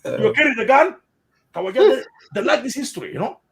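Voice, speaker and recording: South sudanese guy, high voice that jumps around a lot, repeats himself, casual chat. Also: fast talker, crowd noise. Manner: animated, repeats words, mixes in Arabic phrases. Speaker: interviewee. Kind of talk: casual spoken commentary.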